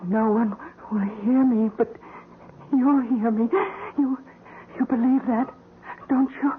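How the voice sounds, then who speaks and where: A woman acting in an old-time radio drama as a frail, dying, devoted wife, weak, tearful and halting. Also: a little high, steady tone. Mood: tearful, fading, devoted. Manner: halting, breathless, melodramatic. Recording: muffled, noisy old radio broadcast.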